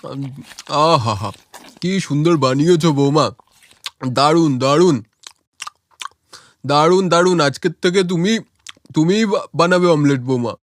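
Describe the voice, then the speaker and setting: A man's voice: on the high side, a little slow, pauses often, dry recording, lightly acted. A man voicing a cheerful, food-loving village family man in a cartoon, eager, coaxing and appreciative. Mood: delighted.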